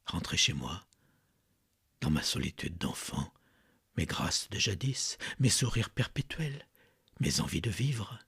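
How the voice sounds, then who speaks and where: A man recites poetry in a low, very husky voice with a gravelly edge. His delivery is theatrical and slow, moving between breathy murmurs and forceful swells. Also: big pitch swings, dry recording, clean studio sound. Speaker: poetry reader.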